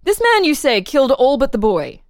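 Lady playing an interrogator grilling a suspect, formal pointed questions, slightly high voice, dry recording, dramatic reading. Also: wildly swooping pitch, moderate pace, no echo, full character voice. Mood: insistent, formal. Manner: formal, probing, leading questions. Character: probing, insistent official interrogator questioning a murder suspect.

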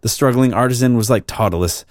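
A man reading an old crime story as narrator, in a measured, formal storytelling manner. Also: medium pitch, dry recording, studio quality.

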